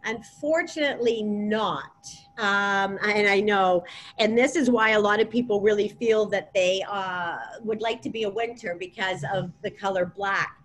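A middle-aged woman presenting as a stylist in an informal webinar, explaining in a halting way over a video call. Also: mid-range voice, rough recording. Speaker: colour analysis stylist presenting. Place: clothing boutique, indoors. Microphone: laptop or tablet on video call. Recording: video call with clear background noise.

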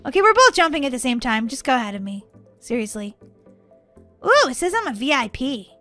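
A woman giving playful, excitable gameplay commentary in a childlike way as host of a gaming video. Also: sits high, brisk, soft background music.

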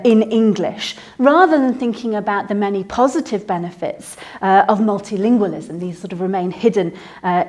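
A woman researcher speaking thoughtfully in an interview, pausing on small fillers. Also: medium pitch, darkish tone. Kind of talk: interview statement.

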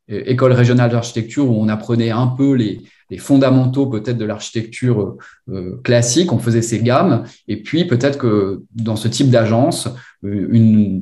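Mellow-voiced man, measured and explanatory. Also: medium pitch. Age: 30s to 40s. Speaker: academic book co-author being interviewed. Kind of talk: academic discussion. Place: indoors on a video call, virtual background. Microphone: computer video call.

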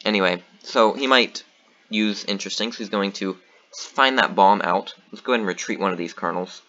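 A man's voice: on the low side, brisk, muffled recording. A man giving casual commentary on his own board game match, thinking aloud with lots of ums and uhs. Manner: thinking aloud, relaxed, many fillers.

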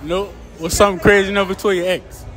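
A man's voice: sits high, fairly even intonation, quick pace, rough recording. Young man teasing as a street interviewer. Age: young adult. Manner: laid-back, teasing questions, stutters. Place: outdoor street at night. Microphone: handheld phone mic.

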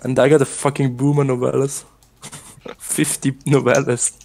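A man's voice: mid-range voice, flat delivery, a little slow, gravelly, darkish tone. A man in casual voice chat during a speedrun race, laughing often and teasing the others with a lot of swearing.

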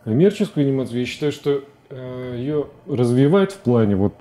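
A man in his forties talking as a guest in a studio interview, halting and repeating words as he searches for phrasing. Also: mid-range voice, lively intonation, mellow. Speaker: interview guest, animation director. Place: TV studio at a desk. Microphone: lapel mic.

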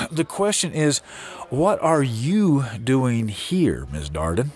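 A man's voice: mid-range voice, sing-song, slow pace, a little mellow. Guy playing tired doubting sheriff, voice jumps up and down, audio drama. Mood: weary, skeptical. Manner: weary, sceptical. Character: weary, skeptical small-town American sheriff.

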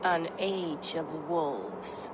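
A woman's voice: sits low, drawn-out words, background noise. A woman voicing a somber Norse spirit of prophecy in a video game, grave and incantatory. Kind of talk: video game cutscene acting. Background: music.

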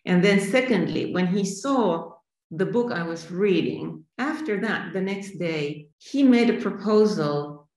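A Finnish woman in her fifties, an artist calmly and thoughtfully answering questions over a video call from home. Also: mid-range voice, unhurried. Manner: thoughtful, reflective, restarts phrases. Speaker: artist guest. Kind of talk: remote interview answers. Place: sunny room at home. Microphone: video call laptop camera. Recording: echoey room.

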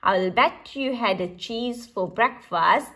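A woman's voice: a little high, slow pace, fairly bright tone, heavily acted. Woman playing a teasing, emphatic storybook tiger. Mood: mocking.

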